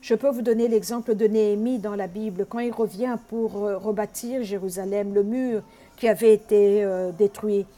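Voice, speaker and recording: A woman's voice: medium pitch, steady tone, normal speed, warm tone. A woman from Congo preaching an earnest sermon, teaching with frequent hesitations.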